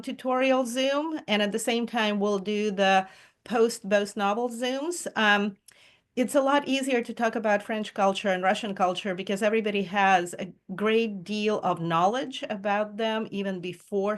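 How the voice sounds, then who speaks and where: A woman leading a reading group over a Zoom call, talking in a chatty, enthusiastic way with frequent ums and small laughs. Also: medium pitch. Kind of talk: casual Zoom presentation. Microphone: video call.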